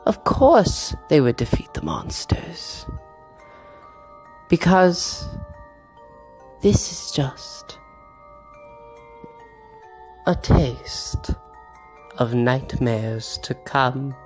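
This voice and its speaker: Sinister female narrator played by a woman, very slow, menacing, theatrical, chiming music underneath. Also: rumbling low, lively intonation, a little mellow, heavily acted. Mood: playful menace. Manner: drawn-out, theatrical, dropping to whispers. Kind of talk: scripted story narration over music. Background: music with chimes.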